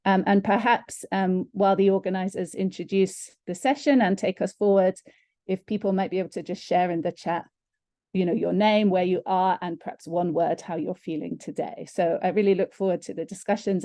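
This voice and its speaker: A woman welcoming people to an online conference session, calm and gentle, with frequent ums. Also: fairly low pitch, a little fast, no room sound, clean studio sound. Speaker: session moderator. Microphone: computer mic on video call.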